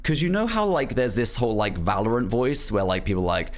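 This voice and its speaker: Young man, streamer, casual rambling chat, animated pitch, easy laughs. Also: a little fast. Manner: rambling, lots of "um" and "like", laughs easily.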